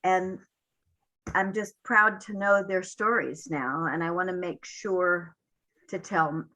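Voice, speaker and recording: An older woman speaking as a panelist, reflecting in a halting way with many pauses. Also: lowish voice, steady tone, pushed and bright, poor audio.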